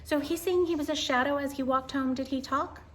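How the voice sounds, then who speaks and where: A woman reading a picture book aloud to children in an expressive, wonder-filled way, with chatty asides and hushed moments. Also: sits high, fairly quick, a little hiss or hum. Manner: animated, wonder-filled, addressing young listeners, dropping to hushed tones.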